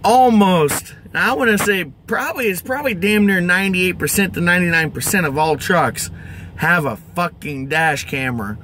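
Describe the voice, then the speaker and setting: High-pitched, irate American trucker man. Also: moderate pace, pushed and bright, a little hiss or hum. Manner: profane, exasperated, emphatic. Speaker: truck driver. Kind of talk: angry vlog rant. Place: inside a truck cab.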